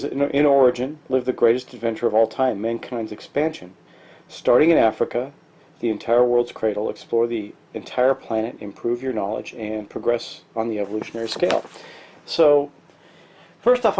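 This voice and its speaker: A middle-aged man with a mellow, dark tone and a stuffy, congested sound. He talks through a tabletop game in a loose, rambling way, full of ums and false starts. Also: medium pitch. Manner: rambling, many ums and restarts. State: stuffy from allergies. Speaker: board game reviewer. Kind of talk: casual tabletop game walkthrough.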